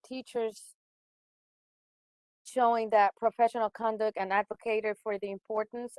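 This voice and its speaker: A woman's voice: medium pitch, no room sound, poor audio. Spanish-native woman presenting, dry sound. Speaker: dual language school educator presenting. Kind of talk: webinar presentation.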